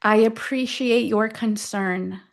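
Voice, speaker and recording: A woman leading a guided affirmation meditation, speaking deliberately in short phrases with long pauses between them. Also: fairly high pitch, gravelly. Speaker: guide of a self-affirmation tapping session.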